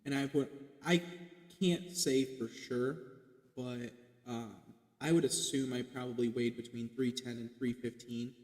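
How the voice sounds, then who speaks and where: A man's voice: medium pitch, fairly even intonation, measured pace, a little mellow. A man in his late twenties chatting casually on a podcast, rambling with lots of "like" fillers and casual swearing. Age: 20s. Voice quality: self-described light boyish voice. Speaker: podcast guest. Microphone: podcast mic. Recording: echoey room with long reverb.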